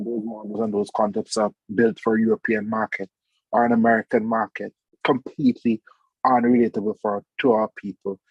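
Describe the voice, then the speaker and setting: A man's voice: medium pitch, vocal fry, slightly rough, dry recording, highs cut off. A man on a late-night video call panel, speaking earnestly and reflectively, a little sleepy. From Saint Vincent. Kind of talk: online panel discussion.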